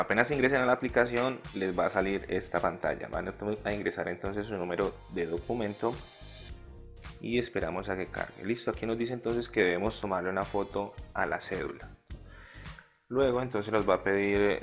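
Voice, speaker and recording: This is a Colombian man with a fairly deep voice and a strong gravelly creak. He talks as a tutorial host, guiding listeners one step after another. Faint music plays behind his voice-over. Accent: Colombian Spanish. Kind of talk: step-by-step app tutorial narration.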